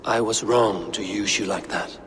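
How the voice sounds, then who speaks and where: An actor playing a stern, impatient Norse god ruler in a video game, grave and measured with an air of authority. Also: male voice, lowish voice, fairly even intonation, close to an everyday voice. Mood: grave, resolute. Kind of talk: video game cutscene acting. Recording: reverberant hall with music behind.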